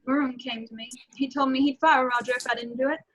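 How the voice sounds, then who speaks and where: A woman playing a sultry, pleading cartoon femme fatale in an amateur table read of a film script, with a breathy delivery. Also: sits high, fairly even intonation, fast talker, fairly bright tone. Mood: soft, wistful. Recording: dull, lo-fi home recording.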